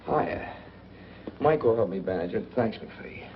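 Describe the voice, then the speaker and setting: An actor playing a smooth, calculating fugitive who feigns innocence, in 1940s film noir style. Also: male voice, on the low side, expressive, a little slow, slight background noise. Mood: polite. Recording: dull old film soundtrack with music.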